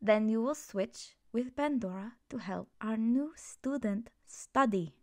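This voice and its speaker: Stern female magic professor for ASMR fantasy roleplay acted by a woman; measured and commanding. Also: on the high side, dark tone.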